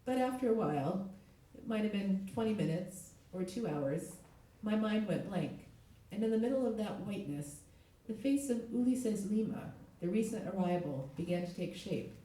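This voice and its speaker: A middle-aged woman, a literary translator, reading aloud at a live event, her voice hoarse with a cold. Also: mid-range voice, lively intonation, moderate pace, hardly any pauses, warm tone. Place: echoey indoor event space. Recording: very echoey room, distant mic.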